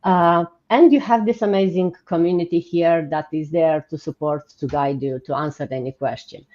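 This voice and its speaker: A woman's voice: deep voice, fairly even intonation, normal speed, a little mellow. Romanian-accented woman hosting, slightly muffled sound. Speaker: host. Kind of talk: informal livestream hosting. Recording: muffled, band-limited online stream audio.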